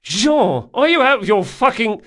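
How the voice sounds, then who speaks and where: A man's voice: mid-range voice, animated, a little slow, a bit bright. A male narrator voicing a bitter, sulking, sardonic young rogue in an audiobook story. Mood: indignant. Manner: sneering, anguished, outbursts.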